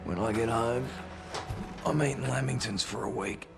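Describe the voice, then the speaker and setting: An actor playing an easygoing, good-natured captured soldier in a game cutscene. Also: male voice, mid-range voice, normal speed, vocal fry, natural voice. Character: easygoing young male Australian soldier held prisoner. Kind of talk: video game cutscene acting. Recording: music behind.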